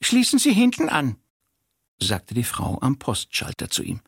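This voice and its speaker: A man playing a dry, husky radio-play storyteller who mimics bossy authority figures. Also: medium pitch, huge pitch swings, a little slow, dry recording, heavily acted. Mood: dry, commanding. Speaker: narrator. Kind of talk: radio play storytelling, acting out short quotes.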